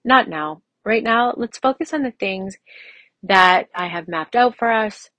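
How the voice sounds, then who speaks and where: A woman's voice: medium pitch, animated, unhurried, sharp tone, no echo, lo-fi sound. A woman in her mid-forties hosting a solo podcast, talking in a personal, conversational way and repeating phrases for emphasis. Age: mid-40s. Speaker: podcast host.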